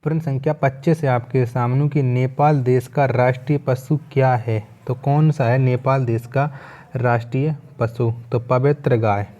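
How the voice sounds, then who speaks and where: Male exam-prep teacher, relaxed dark tone, mid pitch, repeats each question and answer for learners. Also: moderate pace, highs cut off. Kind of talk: quiz lesson, reading questions and answers.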